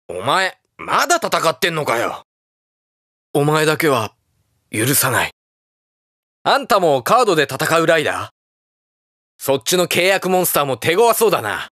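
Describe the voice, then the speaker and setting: An actor playing a hot-blooded, earnest young armored hero in a video game, blunt and boyish in manner. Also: male voice, on the high side, fairly bright tone, dry recording, clean studio sound. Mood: grim, accusing, then determined. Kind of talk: shouted battle lines and game voice clips.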